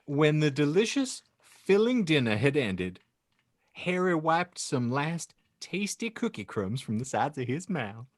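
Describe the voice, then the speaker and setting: A man's voice: a little high, big pitch swings, measured pace, no echo. A man hosting a podcast, narrating fan fiction in a playfully exaggerated, mock-pious way and laughing often.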